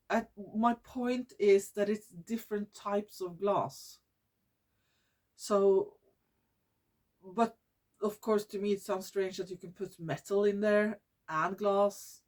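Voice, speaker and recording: Slow-talking Norwegian woman vlogger. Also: mid-range voice, a little mellow. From Norway. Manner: searches for English words, repeats and restarts. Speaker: YouTube channel host. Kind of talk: casual vlog monologue.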